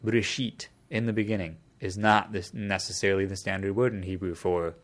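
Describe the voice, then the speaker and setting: A man with a very deep, low voice talks through an idea in relaxed conversation. He explains patiently and circles back to restart his sentences as he thinks. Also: a little fast. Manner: thoughtful, explanatory, with frequent restarts. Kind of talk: casual conversation.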